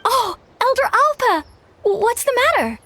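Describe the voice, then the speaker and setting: A woman voicing an earnest young warrior in training in a game, lively and quick to surprise. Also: very high-pitched, a little hiss or hum, heavily acted.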